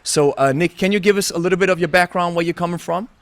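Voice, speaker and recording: A man hosting a podcast interview, speaking in a friendly, halting way with many pauses. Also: high-pitched, a bit bright, no echo. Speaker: podcast host.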